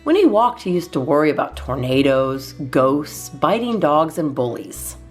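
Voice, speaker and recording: A woman narrates a children's storybook with a slightly low voice and a very animated, swooping melody. She phrases it deliberately, pausing between short lines. Soft guitar music plays behind her. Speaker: storytime host reading a children's book aloud.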